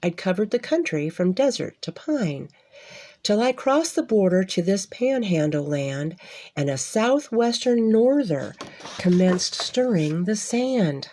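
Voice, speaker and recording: A woman's voice: mid-range voice, big pitch swings, a little slow, a little mellow. A woman voicing a jolly little old man, a traveling wagon driver in a storybook, with folksy cowboy phrasing. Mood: jolly explaining.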